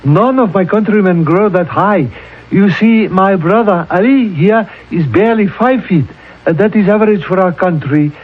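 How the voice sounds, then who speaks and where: A genial young royal from the mountains in a radio play. He speaks in a very high voice with grand, jovial exclamations. The sound is thin and tinny, like an old broadcast. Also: moderate pace. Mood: delighted, breezy. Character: genial, six-foot crown prince of a poor Himalayan country. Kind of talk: 1970s radio drama acting.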